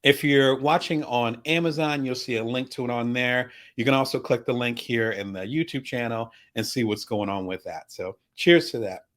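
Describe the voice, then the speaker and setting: A middle-aged man hosting a casual vlog, chatty and animated, full of asides and shout-outs to his viewers. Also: medium pitch, normal speed, hardly any pauses. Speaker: vlog host. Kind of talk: casual talk to camera about products.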